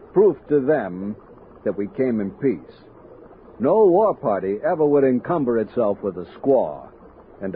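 Male actor, steady expedition captain, medium pitch, measured storytelling, 1960s radio drama, narrow lo-fi sound. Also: a little hiss or hum, close to an everyday voice. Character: steady frontier expedition captain recounting his journey. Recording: muffled 1960s radio recording with music.

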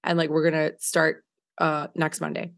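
A woman in her thirties, hoarse from a recent illness, telling a story on a podcast in a casual, filler-heavy way, laughing often. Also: deep voice, little pitch movement, normal speed. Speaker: podcast co-host. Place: home living room by windows. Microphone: large studio mic on video call.

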